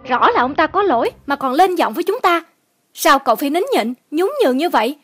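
A woman voicing a hot-tempered, pushy man in a cartoon, insistent and quick to take offence. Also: sits high, expressive, fairly bright tone, faint music behind. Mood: indignant. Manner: indignant, insistent. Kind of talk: cartoon dubbing.

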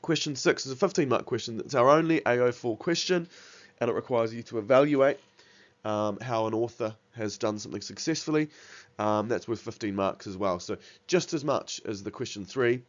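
A man's voice: medium pitch, normal speed, lo-fi sound. A man with a cold and a somewhat nasal voice, giving an exam revision lesson over slides, with many ums and uhs. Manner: many fillers like um and uh, restarts. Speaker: teacher.

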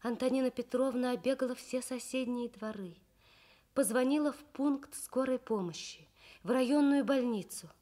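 A woman reading a children's story expressively for 1960s Soviet radio, with measured storytelling. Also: sits high, mellow.

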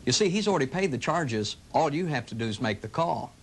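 A middle-aged man, a pastor, speaking in an earnest, gentle, inviting tone in scripted television ads from the 1980s. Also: on the high side, expressive, fairly quick, a little hiss or hum. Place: studio sets and church rooms, indoors. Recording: 1980s local TV ad audio.

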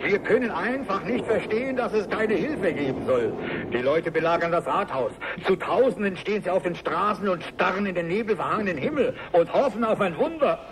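A man's voice: sits high, animated, fairly quick, noisy background. A man acting as a despairing town mayor in a radio play, pleading urgently for help. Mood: desperate. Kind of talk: radio play news statement.

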